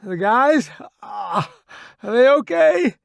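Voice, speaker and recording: A man's voice: high voice, expressive, a little slow, creaky, dry recording, voice pushed up, exaggerated voice. A man voicing a wounded young soldier in an audiobook, strained and blunt, talking through pain. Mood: anxious, upset. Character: wounded young male soldier, pained, cursing, loyal joker.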